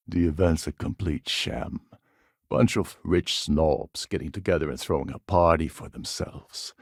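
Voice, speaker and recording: Gravelly, sardonic roguish starship captain. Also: male voice, low voice, a little mellow, dry recording, clean studio sound, exaggerated voice. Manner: sardonic, smooth-talking schemer. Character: roguish, wry male starship captain and former pirate. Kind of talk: video game character dialogue.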